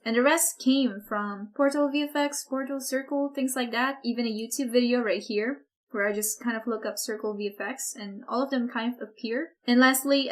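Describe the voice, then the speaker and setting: A woman teaching an online software course, talking casually with lots of "like" and "kind of", her voice a little hoarse from recording too much. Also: on the high side. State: losing her voice, a bit hoarse. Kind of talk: screen-recorded software tutorial.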